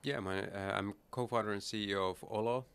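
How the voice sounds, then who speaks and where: A middle-aged man from Finland, a startup founder talking casually as an interview guest at a conference, relaxed and easygoing. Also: lowish voice, a little fast, clean tone. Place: busy conference hall.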